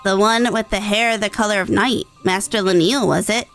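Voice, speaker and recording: A woman's voice: mid-range voice, fairly even intonation, moderate pace, fairly bright tone, soft background music, natural voice, low-quality recording. A woman voicing a small, earnest young rodent-folk scholar in a video game, speaking politely in formal, archaic phrases. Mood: eager, courteous. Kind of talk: reading video game dialogue in character.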